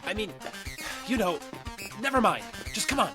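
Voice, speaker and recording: A man's voice: high-pitched, very animated, normal speed, music in the background. A man playing a stubborn, evasive wounded fugitive in an audio drama, speaking in a defensive way. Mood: insistent.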